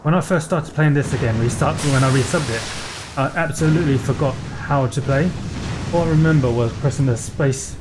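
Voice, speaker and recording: A man hosting a let's play, chatting casually in a halting, unscripted way. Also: mid-range voice, over music. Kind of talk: casual gameplay commentary.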